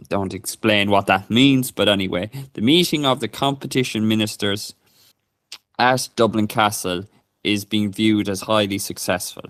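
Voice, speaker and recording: A man with a lowish voice talks hesitantly on a casual live stream, full of fillers and restarts. He reads a news report aloud. The sound is dry and close. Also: a bit bright, dry recording. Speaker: co-host.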